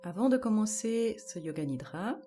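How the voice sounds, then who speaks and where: A woman guiding a yoga nidra relaxation, giving calm, measured instructions in short, spaced-out phrases. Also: mid-range voice, slight background noise. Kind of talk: guided relaxation meditation.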